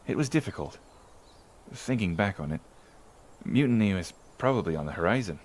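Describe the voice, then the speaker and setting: A man's voice: on the low side, expressive, normal speed. A man playing an island villager in an ASMR roleplay, recalling his childhood in a folksy, musing, conversational way. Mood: reflective, musing. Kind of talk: ASMR roleplay storytelling monologue.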